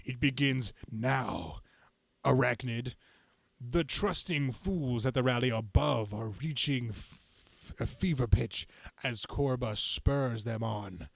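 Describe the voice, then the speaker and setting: Man reading as slow, gravelly comic-book supervillain. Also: medium pitch, no room sound. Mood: menacing, gloating. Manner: grandiose, halting monologue with stumbles. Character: gloating male comic-book supervillain scheming against humanity.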